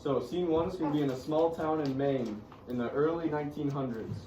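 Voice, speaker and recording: A man's voice: fairly high pitch, a little fast, recorded from a distance. A male teacher giving casual instructions to students before and during a read-aloud. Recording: muffled noisy echoey room from afar.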